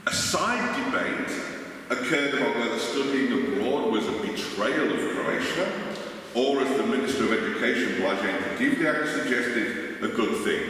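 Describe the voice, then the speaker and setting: A man with a Liverpool English accent giving an academic conference lecture, speaking deliberately and emphatically with wry asides. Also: mid-range voice, fairly bright tone. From Liverpool, England. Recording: echoey hall, distant mic, faint noise.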